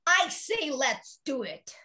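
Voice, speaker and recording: A woman's voice: high-pitched, very animated, takes it slowly, sharp tone, full character voice. A woman in a dramatic play reading, playing an indignant, emphatic village woman.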